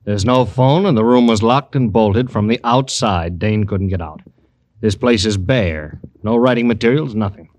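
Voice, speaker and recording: An actor playing a matter-of-fact police doctor, dry and deliberate, in an old radio crime drama. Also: male voice, on the low side, darkish tone, no echo, lightly acted. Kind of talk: 1940s-50s radio drama acting.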